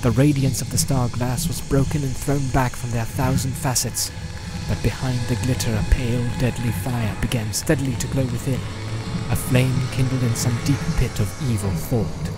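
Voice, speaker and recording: Male audiobook narrator over loud music. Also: fairly low pitch. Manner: solemn, measured literary delivery. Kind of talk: dramatic scripted storybook narration. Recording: loud background music and sound effects. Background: loud cinematic music.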